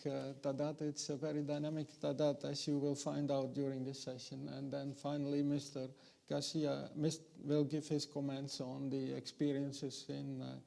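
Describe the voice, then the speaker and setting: A man's voice: fairly high pitch, vocal fry, warm tone. A man moderating a conference panel, introducing speakers formally and a little hesitantly.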